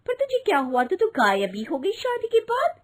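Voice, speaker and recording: Woman playing a vain young celebrity bride, very high voice, swooping, sugary, entitled, storybook drama. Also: wildly swooping pitch, normal speed, full character voice. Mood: breezy, self-important. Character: vain, demanding young celebrity actress daughter-in-law.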